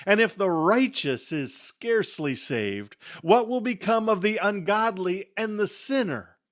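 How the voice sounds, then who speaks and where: A man giving a dramatic reading of scripture in a solemn, theatrical manner, with emphatic phrasing and deliberate pauses. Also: high-pitched, expressive, fairly bright tone. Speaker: Bible reader.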